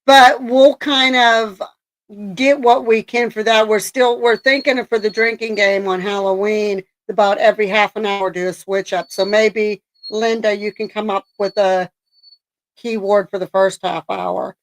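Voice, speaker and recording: A woman hosting a casual live stream, raspy-voiced after a coughing spell, rambling with lots of ums and likes. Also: medium pitch, steady tone, unhurried, rough recording. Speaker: streamer host.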